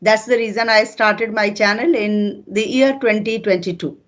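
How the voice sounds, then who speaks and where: A woman's voice: medium pitch, fairly even intonation, normal speed, dull audio. An older woman with an Indian accent whose first language is Hindi, a retired teacher chatting in a patient, teacherly way with fillers. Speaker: retired teacher and channel host. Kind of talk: casual interview conversation.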